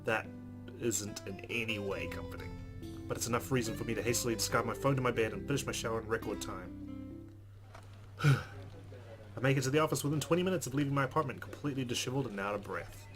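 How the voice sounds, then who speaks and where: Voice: mid-range voice, quick pace, over music. A let's player reading a visual novel's narration aloud and adding casual asides.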